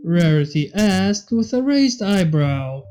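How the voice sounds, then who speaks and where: High-pitched man narrating a story. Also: sing-song, slow pace, warm tone. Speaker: fan fiction reader.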